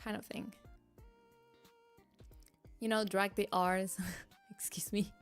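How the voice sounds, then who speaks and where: A woman's voice: mid-range voice, flat delivery, takes it slowly, smooth, faint music behind. A woman streamer, chatting casually and giggling often, restarting her sentences. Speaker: VTuber streamer. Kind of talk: casual chat reading live chat.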